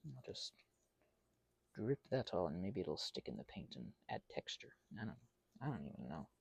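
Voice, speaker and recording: A woman in her thirties giving a rambling, confessional ASMR monologue in a halting, earnest, self-deprecating way, with a husky, creaky voice. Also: rumbling low, very animated, a little mellow, dry recording. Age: 30s. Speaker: ASMR channel creator.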